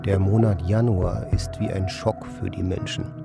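A man acting in a radio play as an aimless, sardonic loiterer who speaks in a laconic, provocative, deadpan way. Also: deep voice, moderate pace, gravelly, loud music behind the voice. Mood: brooding. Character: aimless idle man, sardonic, stubborn department-store loiterer. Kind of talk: German radio play dialogue and first-person narration.

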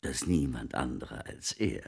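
An actor in a German radio drama playing a shaken, bitter man with a gravelly, husky bass who survived a killer. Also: expressive, normal speed, a little mellow, full character voice. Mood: bitter, hushed.

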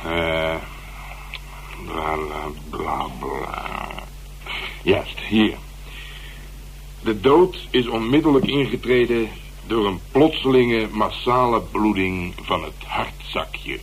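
A noisy, humming old radio drama recording. a man with a lowish voice, casually knowing and wry, explaining a coroner's findings with small hesitations. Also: slow pace, pauses often. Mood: explanatory, casual. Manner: explanatory, hesitant over medical terms, wry. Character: male official reading a coroner's report, casually knowing, wry. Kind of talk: Dutch radio drama acting.

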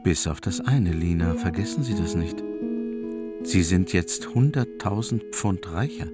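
Tiefer, eindringlicher Erzähler über Gitarrenmusik. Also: male voice, deep voice, animated, a little slow. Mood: probing. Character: probing, insinuating male narrator questioning the heroine. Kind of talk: radio drama narration. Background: plucked guitar music.

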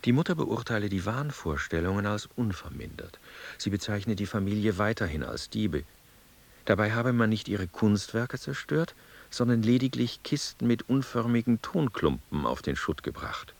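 Detached, gravelly male official. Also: fairly low pitch, animated, moderate pace. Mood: cold, formal. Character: detached male official coldly reporting the family's wishes. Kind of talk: radio drama, formal report in indirect speech.

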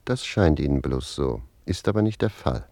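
Gravelly, cheeky manservant, very low. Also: male voice, animated, normal speed, warm tone, dry recording. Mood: cheeky, contrary. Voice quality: gravelly, rough. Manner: pert, argumentative. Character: hoarse, cheeky manservant talking back to his master. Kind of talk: German radio play acting.

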